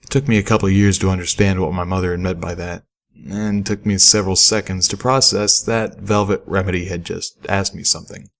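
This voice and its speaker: Low-voiced man narrating a story. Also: steady tone, brisk, creaky, a bit bright. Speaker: fan fiction audiobook narrator. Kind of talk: scripted first-person story narration.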